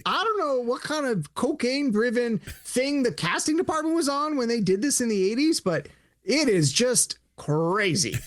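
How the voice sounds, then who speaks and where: A man co-hosting a podcast in casual chat, animated, acting out imagined dialogue as he talks. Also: very high voice, normal speed, no echo, studio quality. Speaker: podcast co-host.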